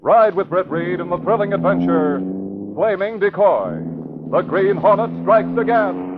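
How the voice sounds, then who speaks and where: A man narrating and announcing in dramatic 1940s radio style, booming and theatrical. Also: sing-song, unhurried. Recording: tinny noisy old radio broadcast.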